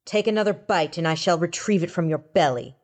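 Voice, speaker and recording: A woman's voice: fairly low pitch, expressive, fairly quick, darkish tone. A woman voicing a stern, pompous male wind god who declaims in lofty, formal speech.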